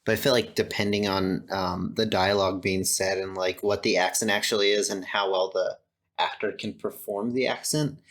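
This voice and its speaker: A man's voice: lowish voice, steady tone, moderate pace, a bit bright. A man from the United States co-hosting a podcast, chatting casually and hesitantly, poking fun at himself. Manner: easygoing, self-deprecating, hesitant. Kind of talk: casual film discussion.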